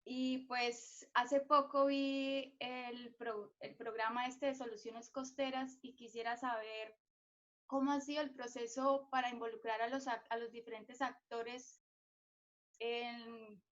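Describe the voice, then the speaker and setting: A young woman from Colombia asks a question on a video call, her voice slightly high-pitched. She speaks slowly and hesitantly, searching for her words. The call audio is dull and lo-fi. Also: fairly even intonation, a bit bright. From Colombia, Santander. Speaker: audience member asking a question.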